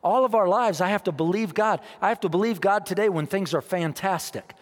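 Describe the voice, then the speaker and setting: Fervent preacher in a reverberant hall. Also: male voice, high-pitched, a little fast. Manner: emphatic, repeats phrases, rises and drops in loudness. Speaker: pastor. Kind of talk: sermon.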